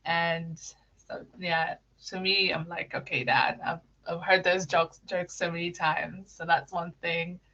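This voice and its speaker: A woman's voice: lowish voice, steady tone, slow, sharp tone, lo-fi sound. A young woman from Kenya answering interview questions in a casual, giggly way, with lots of fillers and restarts. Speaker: interview guest.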